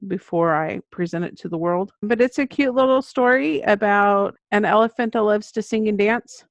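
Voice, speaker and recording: A woman's voice: mid-range voice, normal speed, dry recording. A middle-aged woman giving conversational answers as an author guest in an interview. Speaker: guest, children's book author. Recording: dull lo-fi audio.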